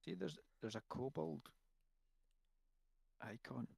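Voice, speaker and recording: A man's voice: medium pitch, slow pace, gravelly, a little mellow, breathy edge, dry recording. A man talking casually as a player in an online tabletop game.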